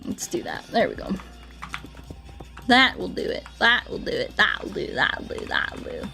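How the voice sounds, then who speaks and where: A woman's voice: fairly low pitch, huge pitch swings, normal speed, creaky, bright, forceful tone, faint music behind. A woman livestreaming a video game, chatting casually with viewers in a giggly, playful, mock-earnest way. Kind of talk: casual chat with viewers while playing.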